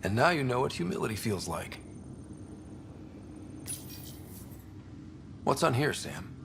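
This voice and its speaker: An actor playing an easygoing young explorer son in a video game, talking in a casual, wry way. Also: male voice, mid-range voice, sing-song, brisk, creaky, noisy background. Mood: wry, thoughtful. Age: young adult.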